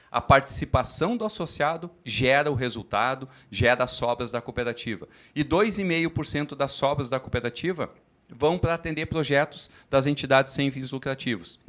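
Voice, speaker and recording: A man, a credit cooperative executive giving steady, formal explanations in a studio interview at a fair. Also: medium pitch.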